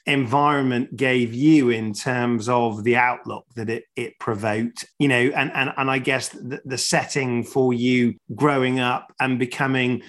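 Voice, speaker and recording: A man's voice: medium pitch, steady tone, unhurried, hardly any pauses, fairly bright tone. A man hosting a conversational interview, asking long, thoughtful questions in a hesitant, circling way. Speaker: interviewer, host.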